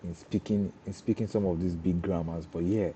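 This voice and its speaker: A man's voice: deep voice, fairly even intonation, moderate pace, dark tone, lo-fi sound, slight background noise. A young Nigerian man, a Yoruba speaker, giving an informal talk on breaking into cybersecurity, often restarting and repeating words as he explains. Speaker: cybersecurity trainer.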